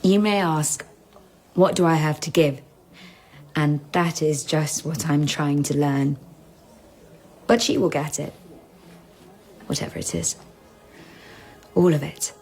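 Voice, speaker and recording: Slow, low-voiced young Englishwoman, poised and guarded. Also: a little mellow, rough recording. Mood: bitter, measured. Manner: measured, deliberate, cool. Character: poised, guarded young London woman, proud and caged. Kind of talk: intimate period radio drama acting.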